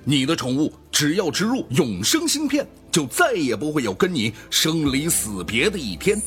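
A man playing a persuasive, grandiose announcer in a futuristic advertisement within a radio drama. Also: a little high. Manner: promotional, emphatic, grandiose. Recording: music behind the announcer.

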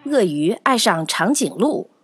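A woman narrating a children's story in an expressive, animated scripted style, acting out the tale. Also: medium pitch, measured pace, over music.

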